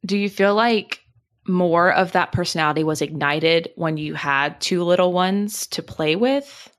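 Podcast co-host: American-accented woman, slightly low register, candid and reflective, conversational delivery. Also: unhurried. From born in Germany, lived in England, in the US from age seven. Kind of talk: casual personal conversation.

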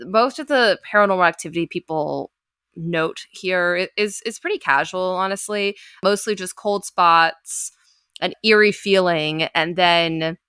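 A woman's voice: lowish voice, pushed and bright, clean tone, no room sound, clean studio sound. A woman hosting a podcast, telling a story in a casual, chatty way with lots of filler words and playful asides. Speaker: podcast host.

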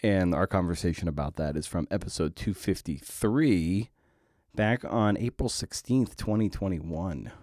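A man hosting a podcast in a conversational interview style, often halting and repeating words as he thinks his way through. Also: low voice, darkish tone, no room sound, clean studio sound. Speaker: podcast host.

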